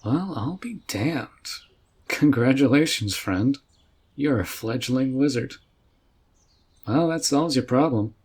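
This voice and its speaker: A man playing a friendly, relaxed mage mentor with a folksy, reassuring way of talking in a roleplay audio drama. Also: medium pitch, takes it slowly, pauses often, husky, heavily acted. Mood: impressed. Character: easygoing, friendly male fantasy mage mentor.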